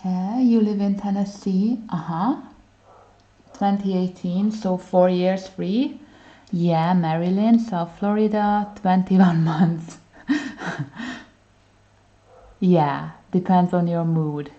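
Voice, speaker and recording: A relaxed woman from Hungary chats with her livestream viewers in an unhurried, slow way. Her voice sits a little low and sounds soft and mellow. The stream audio comes through dull and lo-fi. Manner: relaxed, chatty, reads viewer comments aloud. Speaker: livestream host. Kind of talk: casual chat with viewers while coloring.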